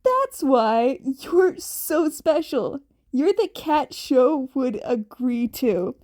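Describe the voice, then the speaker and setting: A woman's voice: high-pitched, very animated, drawn-out words, darkish tone, full character voice. A woman voicing an affectionate, upbeat, sincere man acting as a father figure in a fan fiction audiobook. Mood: sincere, fond.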